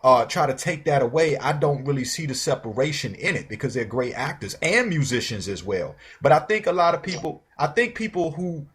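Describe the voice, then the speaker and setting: A man's voice: fairly high pitch, big pitch swings, a little fast, hardly any pauses, a bit bright, husky. A man hosting a casual interview, speaking informally with slang and frequent encouraging affirmations. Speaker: interview show host.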